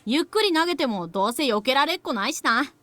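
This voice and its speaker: A cocky anime tomboy with a high, bright voice. The delivery is rough and taunting, swinging widely in pitch like a brash kid in a visual novel. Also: female voice, expressive, rapid-fire. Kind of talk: anime game voice acting.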